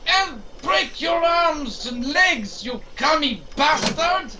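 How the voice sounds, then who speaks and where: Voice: high voice, lively intonation, takes it slowly, very noisy. An actor playing a petty, bombastic robot dictator in a comedic audio drama, declaiming in a grand theatrical way. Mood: furious, gloating.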